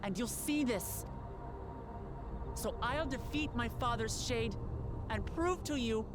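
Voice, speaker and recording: An actress playing a determined young heroine in a video game cutscene, speaking in an earnest, even way. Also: female voice, fairly high pitch, slow, over music.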